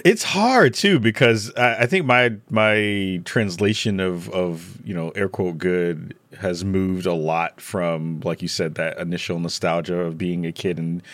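A man with a fairly low voice talks casually in a panel discussion, recorded close and dry. His delivery is rambling and jokey, with animated emphasis as he works through his thoughts. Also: a little slow, few pauses, dry recording.

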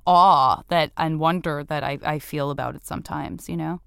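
A woman from Arizona hosts a casual conversation in a smooth, clear voice that sits a little low. She rambles and restarts her thoughts, poking fun at herself, and the recording is close and dry. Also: fairly even intonation, moderate pace, no echo. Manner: rambling, self-deprecating, restarts often. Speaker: podcast host. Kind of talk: casual chat.

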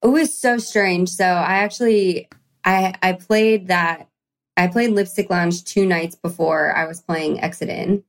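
Chatty woman telling stories, lowish voice. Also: fairly even intonation, measured pace. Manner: chatty, storytelling, upbeat. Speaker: country singer guest. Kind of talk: casual interview chat.

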